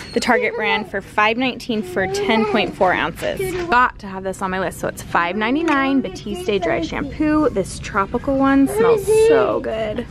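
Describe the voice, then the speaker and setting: A woman in her thirties chatting casually while she films her grocery shopping in a large store, reading out prices. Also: a little high. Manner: chatty, animated, pricing items aloud. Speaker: family vlogger. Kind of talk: casual grocery haul vlog. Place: large store aisle indoors. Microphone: handheld camera at arm's length.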